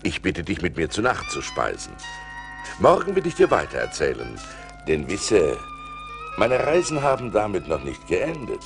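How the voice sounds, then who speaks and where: An actor playing a rich seafaring merchant who recounts his adventures as an expressive storyteller in a radio play. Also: low voice, moderate pace, vocal fry, heavily acted. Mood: gracious. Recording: vinyl record transfer with music behind.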